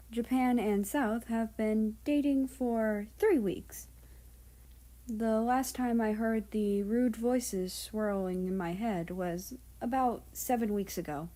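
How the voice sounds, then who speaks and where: Female story reader and host, unhurried delivery, soft-edged mellow tone, casual and scripted. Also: mid-range voice. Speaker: audiobook-style reader and channel host. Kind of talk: scripted story narration and casual outro chat.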